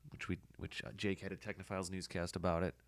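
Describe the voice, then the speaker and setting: Hoarse low-voiced man thinking aloud. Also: flat delivery, quick pace, dry recording. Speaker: podcast host. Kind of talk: casual screen-recording commentary.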